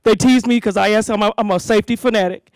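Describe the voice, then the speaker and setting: A woman hosting a school community safety event, giving an animated speech to parents and leading call-and-response chants. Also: mid-range voice, fast, no echo.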